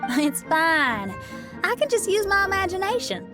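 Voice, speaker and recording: Frank young rocker girl, high-ish voice, laid back, game music faint behind. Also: big pitch swings, measured pace, full character voice. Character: bold young female rock musician, frank and warm. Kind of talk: game voice acting.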